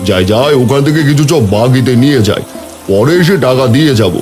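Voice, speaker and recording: A man's voice: medium pitch, animated, fairly quick, a little mellow, natural voice. A man voicing a self-important, wheedling village headman in a Bengali cartoon. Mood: scheming. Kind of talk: Bengali cartoon story voice acting. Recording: music and rain behind voice.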